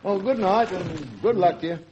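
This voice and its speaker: A man playing an older, avuncular British police sergeant in a vintage radio drama, with a gruff, colloquial storytelling manner. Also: high voice, normal speed, muffled recording, close to an everyday voice. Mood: businesslike, affable. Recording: noisy old radio recording.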